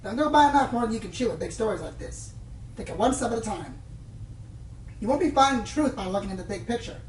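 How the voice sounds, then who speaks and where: A woman playing a cynical, worldly-wise pony news reporter in a radio play, brisk and condescending. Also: a little high, lively intonation, a little mellow, a little hiss or hum, lightly acted. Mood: advising. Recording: roomy, off-mic, faint music behind.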